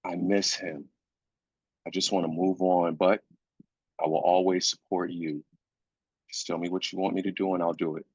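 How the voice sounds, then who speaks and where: A man's voice: sits low, level tone, normal speed, long pauses, slightly rough, no room sound. A man playing a weary, grieving husband and father in a screenplay reading, insistent yet supportive. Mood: subdued, supportive. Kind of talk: live staged screenplay reading. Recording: dull, lo-fi sound.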